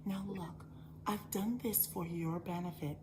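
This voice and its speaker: Woman playing a breathy whispering influencer lady, slow and preachy, lowish voice, noisy background. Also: lightly acted. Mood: hushed preachy. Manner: slow, hushed, instructive. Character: soft-whispering female lifestyle influencer, preachy. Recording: background noise.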